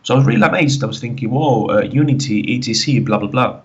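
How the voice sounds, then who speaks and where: A man from the Canary Islands with a Spanish-influenced English accent tells his story casually, halting and repeating himself with many conversational fillers. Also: mid-range voice, muffled recording. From Canary Islands, Spain. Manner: halting, repetitive, full of "like, you know". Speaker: guest caller telling his story. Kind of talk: casual personal testimony, conversational.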